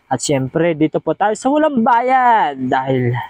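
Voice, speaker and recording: A man's voice: on the high side, big pitch swings, unhurried, a little mellow, no room sound. A man hosts a casual step-by-step tutorial, chatty and encouraging, often repeating himself. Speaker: host of video editing tutorial.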